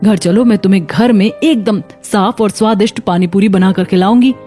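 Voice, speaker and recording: A woman's voice: mid-range voice, a little fast, dark tone, soft background music, natural voice. A woman voicing a humble, hardworking young housemaid in a cartoon story, polite and earnest. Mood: polite, coaxing. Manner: polite, earnest, put-upon.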